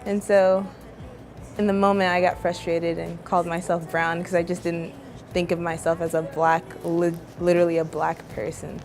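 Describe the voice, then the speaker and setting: Reflective young woman with a lower voice. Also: little pitch movement, moderate pace, a little mellow, faint music behind. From Louisiana, United States. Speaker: interviewee. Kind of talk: personal testimony to camera.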